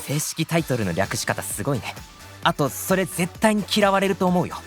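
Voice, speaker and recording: A man's voice: a little high, big pitch swings, normal speed, faint music behind. A man playing a nonchalant, teasing young demon brother in an anime-style audio drama, offhand and bluntly matter-of-fact. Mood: mildly puzzled.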